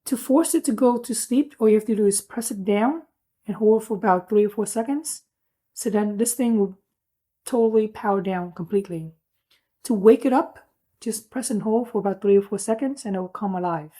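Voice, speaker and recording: A woman's voice: medium pitch, moderate pace, dark tone, poor audio. A woman giving a casual hands-on product review, explaining things in an informal, plain-spoken way.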